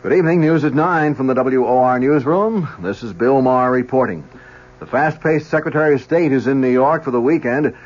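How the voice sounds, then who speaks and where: A man's voice: mid-range voice, brisk, a little hiss or hum. Formal male newsreader on muffled old radio. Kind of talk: scripted 1970s radio news reading. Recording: dull vintage radio broadcast.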